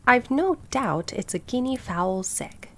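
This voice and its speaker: A woman's voice: fairly high pitch, animated, measured pace, fairly bright tone, pitched above the performer's own voice. A woman voicing a nosy, know-it-all old female duck in a storybook, opinionated and emphatic. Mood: knowing, insistent. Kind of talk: storybook character voice.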